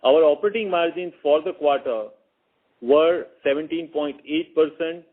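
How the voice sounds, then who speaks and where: A man's voice: fairly high pitch, moderate pace, husky. A man speaking as a finance chief, formally briefing on results and now and then repeating his words. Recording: narrow tinny phone conference line.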